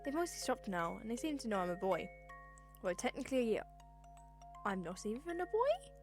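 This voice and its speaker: An earnest young boy from a fan-made cartoon dub, played by a woman. The voice sits fairly high and sounds curious and upbeat, with music playing underneath. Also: sing-song, moderate pace. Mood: cheerful, matter-of-fact. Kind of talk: amateur comic dub acting.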